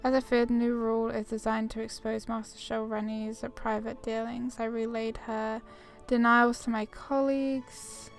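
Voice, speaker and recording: A woman's voice: on the high side, little pitch movement, moderate pace, soft background music. A woman doing casual, chatty commentary on a game playthrough, sometimes reading dialogue aloud. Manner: chatty, informal. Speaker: gamer commentator.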